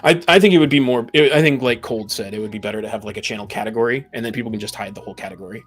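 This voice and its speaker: A man's voice: on the low side, racing, a bit bright, slightly rough, faint music behind. A talkative man in a casual group chat, stammering and repeating the starts of his phrases. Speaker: tabletop game master, podcast guest.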